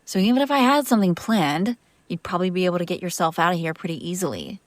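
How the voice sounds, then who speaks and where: A woman's voice: on the low side, lively intonation, fast, dry recording, natural voice. A woman playing a female vampire master, an exasperated but protective caretaker, in a conversational, sardonic audio roleplay. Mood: wry, challenging. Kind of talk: intimate audio roleplay acting, one side of a conversation.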